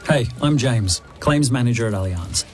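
A man's voice: mid-range voice, lively intonation, normal speed, a little mellow. Man spokesperson, reassuring, faint music. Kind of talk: radio ad testimonial.

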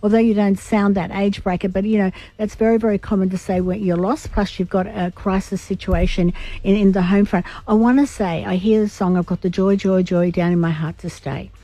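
Aussie woman, croaky mellow voice, radio psychic giving firm advice to callers. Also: medium pitch, fairly even intonation, a little fast, no room sound, low-quality recording. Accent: Australian. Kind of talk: live radio call-in advice.

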